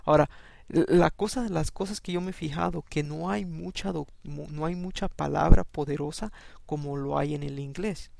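A man hosting an informal Bible podcast, talking in a halting, conversational way with many restarts and then reading scripture aloud. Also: on the high side, steady tone, creaky, a little mellow, dry recording. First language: Spanish.

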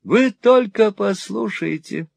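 A man's voice: high-pitched, lively intonation, slow pace, gravelly, no echo, voice pushed up, exaggerated voice. A man voicing a fawning old male moneylender who cackles and gushes with wheedling exclamations in an audiobook reading. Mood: gushing.

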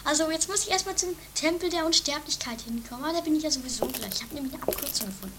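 Shrill, squeaky, scatterbrained comedy sister. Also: lively intonation, very fast. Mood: smug, scatterbrained. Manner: rambling, muddled, self-satisfied. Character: Doris, dramatic, scatterbrained, boastful sister, self-styled immortal. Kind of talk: improvised trash comedy radio play. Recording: noisy amateur 1980s tape.

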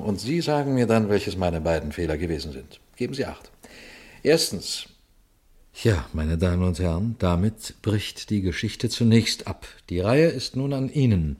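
Male detective, low register, composed and dryly ironic, radio crime drama. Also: expressive, moderate pace, a little mellow, slightly rough. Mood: addressing listeners. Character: male police detective, calm, shrewd, quietly triumphant. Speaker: detective narrating his own case. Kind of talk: German radio crime drama acting and narration.

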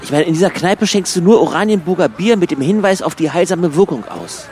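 An actor playing an eager, puzzled drinking buddy in a German comic radio play, questioning insistently in colloquial speech. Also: male voice, sits high, animated, brisk, darkish tone. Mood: eager, persuasive.

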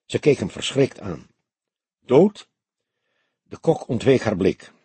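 A man reading a crime story as an expressive audiobook narrator. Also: fairly low pitch, normal speed, husky, dry recording.

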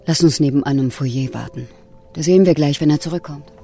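Low, mellow, resolute female ally. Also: a little fast, gravelly, soft background music. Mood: observant. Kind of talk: German radio drama acting.

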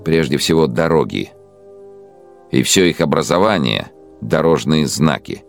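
An actor playing a cold, imperious ideologue in a radio drama monologue, speaking with deliberate, commanding delivery. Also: male voice, rumbling low, lively intonation, gravelly, full character voice. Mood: cold, dismissive. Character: cold, imperious ideologue musing on ruling conquered people. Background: faint music.

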